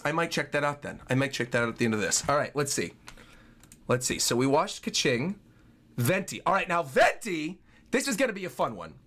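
A man in his twenties giving casual, excitable live commentary as a streamer reacting to game trailers. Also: a little high. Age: 20s. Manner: excitable, exclaiming. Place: small furnished room indoors. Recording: game trailer music playing behind.

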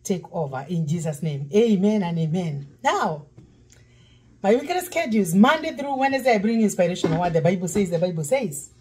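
A woman's voice: on the low side, expressive, a little fast, a little mellow, husky. A middle-aged woman pastor, preaching while cooking in her home kitchen, breaking into song now and then. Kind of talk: cooking demo sermon. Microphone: fixed camera across counter.

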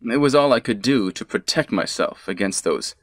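A man's voice: fairly low pitch, expressive, moderate pace, no echo, clean studio sound. An actor playing a composed, secretive male squad leader in a stilted nineties video game. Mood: calm, guarded.

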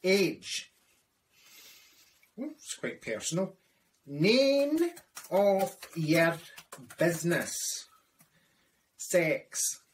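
A man's voice: high voice, very animated, drawn-out words, pauses often, pitched above the performer's own voice, heavily acted. A Scottish man voicing a chatty older widowed woman who rambles with dry asides, in a comic character monologue. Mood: gossipy.

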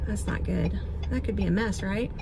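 A woman's voice: medium pitch, normal speed. A woman in her forties chatting casually and ramblingly to a phone camera at arm's length as she vlogs from a moving vehicle. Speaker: vlogger, host. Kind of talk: casual chat. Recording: loud vehicle and road noise.

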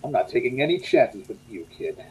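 A man's voice: medium pitch, sing-song, a little fast, a little mellow, lo-fi sound, lightly acted. A man playing a tired, menacing gunman on the run in an old-time radio suspense drama, speaking curtly. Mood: cold threatening. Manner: curt, threatening. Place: indoors at home on a video call.

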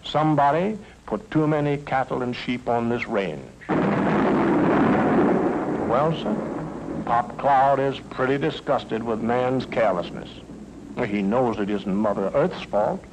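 A man's voice: mid-range voice, measured pace, noisy background. An American man giving folksy, emphatic scripted narration in the manner of a mid-century educational film. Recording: old film soundtrack with music.

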